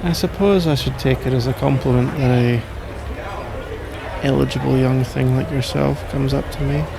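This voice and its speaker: A man with a British accent playing a suave, suspicious and powerful mafia crime lord in an intimate ASMR roleplay, measured, self-assured and teasingly condescending. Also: medium pitch, fairly even intonation, creaky, natural voice. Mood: knowing, teasing. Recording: noisy bar-like crowd ambience behind.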